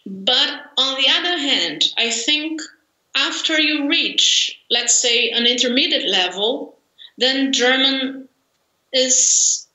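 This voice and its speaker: A woman in her forties with a Brazilian Portuguese accent talks slowly on a video call, pausing often with fillers and laughing easily. Her voice is a little high and very bright. The webcam audio is roomy and echoey. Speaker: interview guest, language learner. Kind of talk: conversational interview. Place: home room, indoors.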